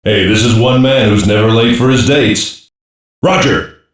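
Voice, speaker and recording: A man playing a gruff, wisecracking special-operations cyborg soldier in an anime dub, blunt and sardonic with slangy delivery. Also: mid-range voice, measured pace, heavy reverb, distant mic, lightly acted, poor audio. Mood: wry, casual. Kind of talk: English-dub anime voice lines.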